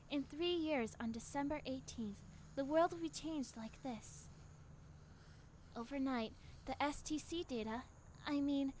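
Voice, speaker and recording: Woman acting a gentle, high-voiced young female guide. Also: slight background noise. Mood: serious, explaining. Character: grown young woman time traveller, gentle, guiding. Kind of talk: anime fan dub acting. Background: background music.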